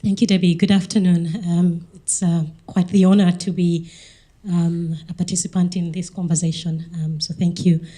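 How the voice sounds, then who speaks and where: A woman in her thirties from Uganda, speaking as a conference panelist with the sniffles, halting often with ums and uhs. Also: on the low side, little pitch movement, mellow. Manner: hesitant, many ums and uhs. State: has the sniffles. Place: conference hall.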